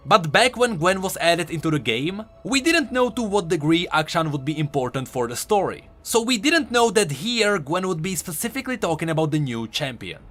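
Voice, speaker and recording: A man's voice: fairly high pitch, big pitch swings, normal speed, few pauses, a bit bright. A man doing an enthusiastic, conversational voice-over commentary as a video host. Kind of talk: game lore commentary voice-over.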